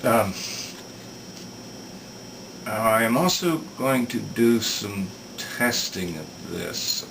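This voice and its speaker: A man giving a casual, unscripted technical explanation in a halting, thoughtful way, thinking aloud as he demonstrates repair work. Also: fairly low pitch, lively intonation, fairly bright tone. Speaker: repair video maker demonstrating electronics work. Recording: distant mic with clear background noise.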